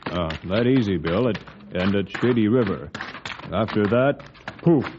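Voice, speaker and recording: An actor playing a stolid western scout in an old radio drama, speaking clipped, stilted broken English. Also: male voice, fairly low pitch, lively intonation, a little slow. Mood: grave, puzzled. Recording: muffled, noisy old radio recording.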